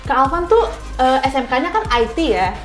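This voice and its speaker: A woman's voice: high voice, big pitch swings, normal speed. A young woman hosting a casual interview in a chatty, informal way, repeating answers back as questions. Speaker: talk show host. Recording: roomy echo, faint music behind. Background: faint background music.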